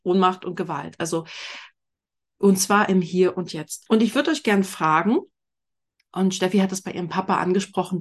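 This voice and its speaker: A woman guiding a small group conversation with a slightly low voice. She speaks slowly and deliberately, letting her sentences fade away quietly at the ends. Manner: slow, deliberate, trailing off softly at phrase ends. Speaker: workshop facilitator. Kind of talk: guided group discussion.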